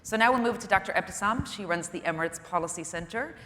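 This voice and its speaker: A woman moderating a panel, brisk as she introduces speakers and asks questions. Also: mid-range voice, expressive, bright, forceful tone, slight background noise. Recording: reverberant hall with faint ambience.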